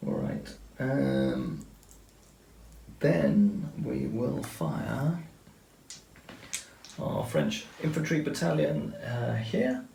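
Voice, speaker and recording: A man's voice: medium pitch, sing-song, very slow, gravelly, long pauses, warm tone, echoey room, slight background noise, rough recording. A man methodically explaining each step of a tabletop wargame turn, talking through the rules as he plays. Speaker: wargame player explaining turns. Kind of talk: tabletop game commentary.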